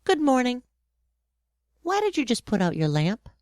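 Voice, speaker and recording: A woman's voice: fairly high pitch, wildly swooping pitch, a little mellow, dry recording, studio quality. Woman playing an earnest, lilting little prince. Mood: curious. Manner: deliberate, innocent, questioning. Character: curious, earnest little prince, a young boy. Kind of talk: acted storybook audio drama.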